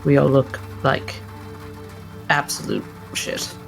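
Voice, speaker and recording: A man playing a brisk, gravelly doctor. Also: medium pitch, monotone, fairly bright tone, faint music behind. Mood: weary, decisive. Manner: decisive, emphatic. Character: brisk, pragmatic, blunt doctor in a fantasy mystery game.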